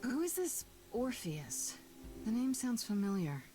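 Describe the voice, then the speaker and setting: Plucky young heroine over music. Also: female voice, fairly high pitch, sing-song, unhurried. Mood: wry, challenging. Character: determined, questioning young mortal heroine, plucky and blunt. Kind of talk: dramatic video game voice acting. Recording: music under the voice.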